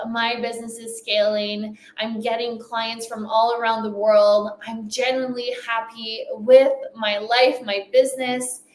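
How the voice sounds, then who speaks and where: French-accented woman giving a motivational talk, highish voice, echoey room. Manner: emphatic, repeating phrases for effect. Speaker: summit speaker, coach. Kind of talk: motivational speech.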